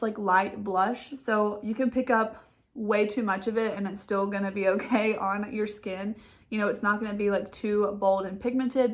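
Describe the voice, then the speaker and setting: A young woman with a stuffy nose from a head cold, chatting casually about beauty products from her couch at home. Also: mid-range voice. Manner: chatty and relaxed, with small laughs. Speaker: beauty vlogger. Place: living room couch at home. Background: dog making noise nearby.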